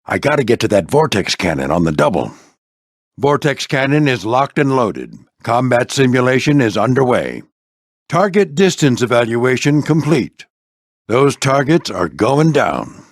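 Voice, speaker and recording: Folksy old warplane mentor, lively intonation. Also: male voice, medium pitch, unhurried, no echo, studio quality. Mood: brisk, military. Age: older. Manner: folksy, avuncular, military clipped phrasing. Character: gruff old veteran warplane flight instructor, fatherly storyteller. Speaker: squadron skipper and mentor. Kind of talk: animated video game character voice lines.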